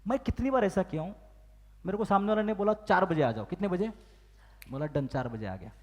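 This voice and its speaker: A man giving a motivational business training talk, firing questions at the audience and acting out phone calls and excuses. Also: high voice. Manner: asks the crowd questions and demands loud answers. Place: large hall with live audience. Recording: long hall reverb.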